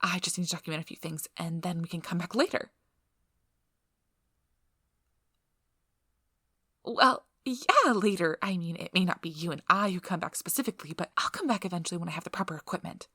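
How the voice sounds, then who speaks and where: A woman playing a nervous, apologetic, injured young field researcher in an ASMR audio roleplay, breathy and hesitant, stammering as she goes. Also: lowish voice, animated, fast talker, hardly any pauses, sharp tone, no room sound, full character voice. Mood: apologetic, eager.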